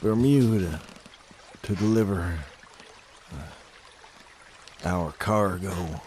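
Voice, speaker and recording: A man acting a grim, guilt-ridden dead man who narrates a scripted audio drama in a slow, brooding, deliberate way. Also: low-pitched, darkish tone, slight background noise. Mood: haunted, reluctant. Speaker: narrator and in-story character. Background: music.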